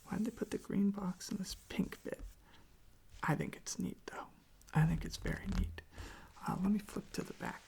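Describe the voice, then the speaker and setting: A soft, whispery voice with very heavy vocal fry, recorded close on an ASMR microphone. The delivery is casual and meandering, with quiet enthusiasm. The pitch sits in an androgynous middle range. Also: normal speed. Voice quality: whispery, breathy with creaky fry. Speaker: ASMR video creator. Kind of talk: soft-spoken ASMR, rambling music talk.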